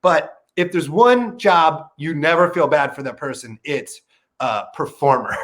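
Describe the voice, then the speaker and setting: A man's voice: lively intonation, unhurried, fairly bright tone. A man in his thirties, a comedian talking as a podcast guest, laid-back and self-deprecating. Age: 30s. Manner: laid-back, self-deprecating, jumps into a high voice when quoting himself. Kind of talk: casual chat.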